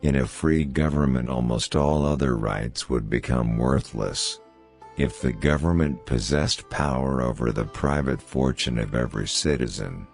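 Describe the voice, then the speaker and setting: A man reads famous quotations as a scripted narration, in a measured, formal manner. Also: a bass voice. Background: background music.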